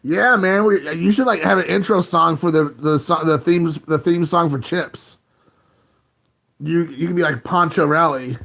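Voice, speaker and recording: Man in his late thirties, gravelly, slightly high voice, rambling live-stream chat, phone mic at home. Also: measured pace, a bit bright. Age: 30s. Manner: rambling, laid-back, chuckling often. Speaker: livestream host.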